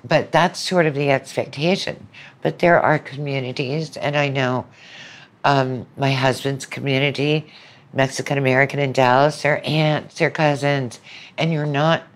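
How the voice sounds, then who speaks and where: A woman's voice: extremely low pitch, fairly even intonation, a little slow. A woman lecturer speaking as a podcast guest, explaining things in a conversational way.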